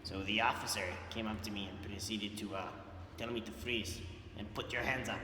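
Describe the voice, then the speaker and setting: A man's voice: on the low side, fairly even intonation, normal speed, noisy background, close to an everyday voice. A young man in a student video playing a streetwise ex-con drug dealer who swaggers and swears casually. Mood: gruff. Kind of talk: student amateur video acting. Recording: echoey room, far from the mic, with music.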